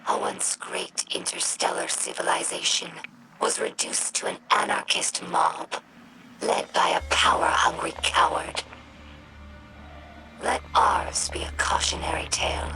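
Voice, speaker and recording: An earnest young alien chronicler, tells game lore at a slow, reflective pace. Her voice has a strong gravelly creak, and clear music plays behind her. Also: medium pitch, poor audio. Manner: measured, reflective storytelling. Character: earnest, thoughtful young female alien scribe. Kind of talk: scripted game narration of lore. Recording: music under the voice.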